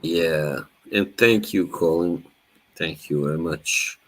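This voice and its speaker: A man's voice: rumbling low, slow pace, fairly bright tone. A young man from Bosnia, whose first language is Bosnian, hosting a casual live chat in a laid-back way with frequent laughs. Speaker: live stream host.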